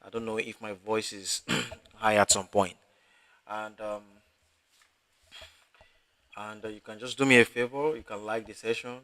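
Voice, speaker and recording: A man teaching a live online class, talking in a halting way with many fillers and restarts. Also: fairly low pitch, flat delivery, sharp tone, no room sound. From Africa. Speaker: instructor.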